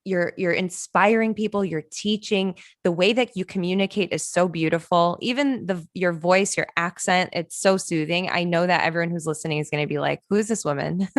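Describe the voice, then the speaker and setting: A woman's voice: on the low side, brisk, few pauses, dry recording, studio quality. A woman hosting a podcast interview, effusive and admiring toward her guest. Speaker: podcast host.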